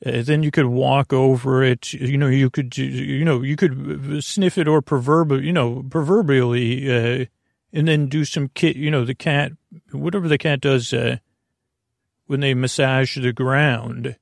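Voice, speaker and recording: Gravelly rambling man, sleepy podcast host. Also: mid-range voice, fairly even intonation, unhurried, no room sound, studio quality. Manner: meandering, full of fillers and restarts, gentle. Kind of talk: rambling soothing bedtime podcast talk.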